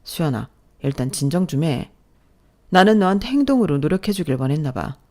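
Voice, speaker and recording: A woman voicing a weary young husband who answers defensively and tries to calm his wife, a little lower than her own voice, in a told story. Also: brisk. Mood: placating. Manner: defensive, placating and low-key. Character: evasive, weary young husband caught in the middle. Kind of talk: storytelling with acted dialogue.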